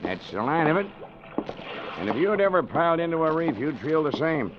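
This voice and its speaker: A man's voice: fairly high pitch, big pitch swings, brisk. A crusty old sea dog with a wildly swooping, folksy delivery full of nautical slang. He is nosy and fond of drink, heard on a muffled old radio broadcast with heavy background noise. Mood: knowing, folksy. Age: older. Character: salty old expatriate boatman, nosy and boozy. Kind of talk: old-time radio drama acting.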